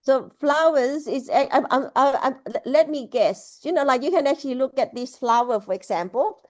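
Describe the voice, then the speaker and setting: A woman from Laos giving a casual spoken Buddhist teaching over a video call, halting, with many restarts and fillers. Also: high voice, animated, a little mellow, no echo, clean studio sound. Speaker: Buddhist speaker.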